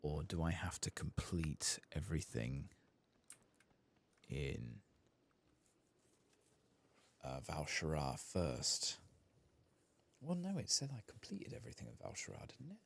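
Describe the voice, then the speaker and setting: A very low-voiced man hosts a game playthrough in a soft, ASMR style that slips into whispering. His voice crackles with fry and moves freely up and down as he mutters his thoughts aloud. There is faint noise behind him. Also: sing-song, slow, pauses often. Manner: hesitant, muttering to himself as he thinks aloud. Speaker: host of a video game playthrough channel.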